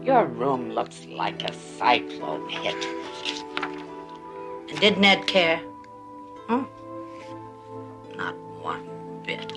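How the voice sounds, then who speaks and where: A woman playing a gentle visitor to a sick child in a television drama, with a playful storytelling manner. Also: very deep voice, huge pitch swings, extremely slow, long pauses, over music, heavily acted.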